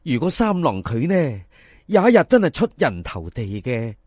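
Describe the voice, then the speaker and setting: A chatty old village mother from a Cantonese folk tale, acted out by a man. The delivery is folksy and persuasive, full of swoops, with a slightly husky edge. The recording is dry and close. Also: medium pitch, very animated, normal speed, a little mellow, no room sound. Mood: delighted. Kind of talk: dramatised folk storytelling.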